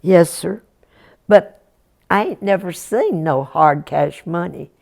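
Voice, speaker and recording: A woman's voice: lowish voice, slow, creaky, a little mellow, full character voice. Woman doing an earnest farm boy. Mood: earnest, humble. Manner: drawling backwoods talk, humble and polite.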